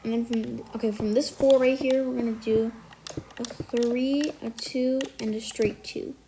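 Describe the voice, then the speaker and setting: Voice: medium pitch, fairly even intonation, measured pace, mellow, a little hiss or hum, low-quality recording. A tutorial maker who is a bit sick today talks step by step through a building tutorial, counting out blocks and correcting small slips along the way. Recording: music playing under the voice.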